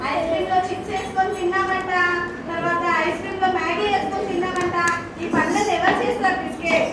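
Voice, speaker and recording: A woman's voice: extremely high pitch, little pitch movement, fast. A woman hosting a children's puppet show, questioning and exclaiming playfully and repeating phrases for the children. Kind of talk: playful interactive storytelling for children. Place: large hall with audience of children. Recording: very echoey noisy hall, distant, crowd.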